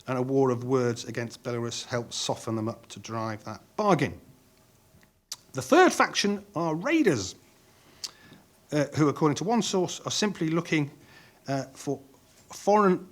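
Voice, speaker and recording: A middle-aged man speaking on a conference panel in a measured way, pausing with frequent ums and restarting phrases. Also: medium pitch.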